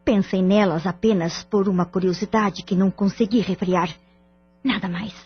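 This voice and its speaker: Brief: a bitter, proud wife, very breathy and husky, cold and resentful, dull lo-fi sound with faint music. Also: female voice, mid-range voice, steady tone, normal speed. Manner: cold, resentful inner monologue. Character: proud wounded wife, middle-aged, bitter and stubborn. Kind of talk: audio drama acting.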